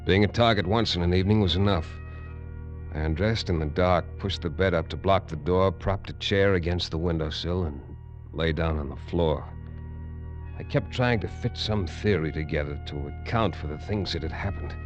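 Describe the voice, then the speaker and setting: A dull vintage radio recording with faint music carries a low-voiced, tough man played by a male actor. He speaks in a terse, hard-boiled way, like the wary hero narrating an old adventure serial. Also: normal speed, natural voice. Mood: tense, brooding. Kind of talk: 1940s radio acting, first-person noir narration.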